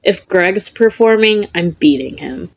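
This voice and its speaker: A woman voicing a hot-headed, competitive young man in a story, gruff and defiant. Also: on the low side, animated, unhurried.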